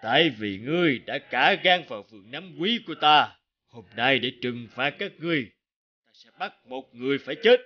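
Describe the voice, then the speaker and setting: Male actor as giant demon judge, stern, threatening, deliberate, expressive delivery, cartoon villain. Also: mid-range voice, lo-fi sound, natural voice. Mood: menacing. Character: huge menacing horned demon lord, stern judge. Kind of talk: animated folk-tale villain acting.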